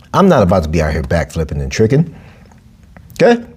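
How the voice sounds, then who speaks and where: A man's voice: vocal fry, slightly rough. Guy in his 40s, coach rambling on his podcast, punchy, repeats himself a lot, voice jumps up when he makes a point. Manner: emphatic, repeats phrases, big pitch jumps for emphasis. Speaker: podcast host, boxing and capoeira coach. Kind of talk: casual solo podcast monologue.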